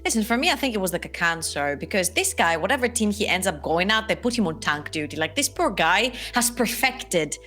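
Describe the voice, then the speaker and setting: A woman's voice: medium pitch, animated, fairly quick, hardly any pauses, pushed and bright, soft background music. A young woman casting esports from home, giving emphatic and opinionated analysis. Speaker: esports caster. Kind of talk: casual analysis chat. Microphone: desk mic on a webcam call.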